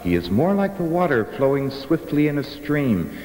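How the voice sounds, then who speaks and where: An echoing, fairly high-voiced master priest in an animated film. He sounds expressive and wondering, with lively swings in pitch and a long hall reverb. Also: moderate pace. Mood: impressed, musing. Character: impressed Buddhist master priest. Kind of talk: dubbed animated film acting. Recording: echoey hall reverb, faint music.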